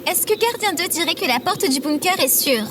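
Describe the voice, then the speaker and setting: Female actor for dryly witty adventurer in audio drama; matter-of-fact, composed, high-pitched, noisy setting. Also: lively intonation, a little fast.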